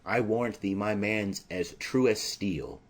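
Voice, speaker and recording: Classic play audiobook: earnest young male lover, poetic and formal, low, somewhat husky man reads the part. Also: a little slow. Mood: eager. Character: earnest lovestruck young nobleman.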